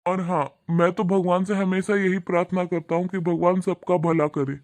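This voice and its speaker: A man's voice: sits high, flat delivery, moderate pace, darkish tone, dry recording. A man voicing a kind young husband in a cartoon, speaking earnestly and in a lecturing way. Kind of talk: cartoon voice acting.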